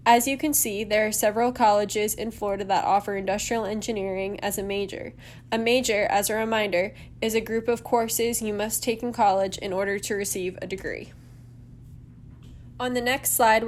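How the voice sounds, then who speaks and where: A woman's voice: medium pitch, slight background noise. A woman reading a scripted lesson as a teacher, explaining things in an orderly, instructional way. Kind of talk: scripted lesson narration over slides.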